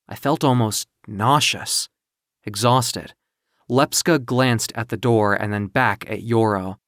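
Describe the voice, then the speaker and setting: A narrator telling a dramatic story in a measured, literary, theatrical way, as in an audio comic book. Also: medium pitch, dry recording, clean studio sound.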